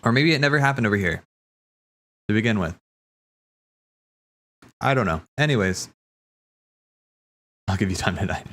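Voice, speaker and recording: A man's voice: fairly low pitch, lively intonation, normal speed, pauses often, a bit bright. A man giving casual watch-party commentary on game cutscenes, thinking aloud in a musing, hesitant way. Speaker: streamer reacting to game cutscenes.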